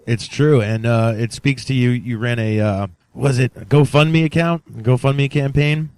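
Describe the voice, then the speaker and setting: A man's voice: medium pitch, steady tone, unhurried, darkish tone, smooth, dry recording. A man hosting a podcast in casual, enthusiastic conversation, repeating himself for emphasis. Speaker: podcast host. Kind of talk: casual interview talk.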